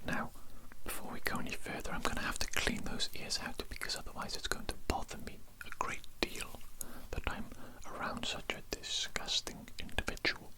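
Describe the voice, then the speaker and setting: A man playing a sinister, contemptuous evil doctor in an ASMR roleplay, in a breathy whisper with slow, repetitive, condescending phrasing. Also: natural voice, poor audio. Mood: disgusted, scornful.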